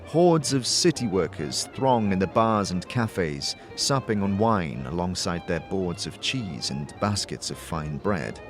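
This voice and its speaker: A man's voice: low-pitched, expressive, measured pace, few pauses, faint music behind. A man giving scripted second-person narration for a fantasy audio drama.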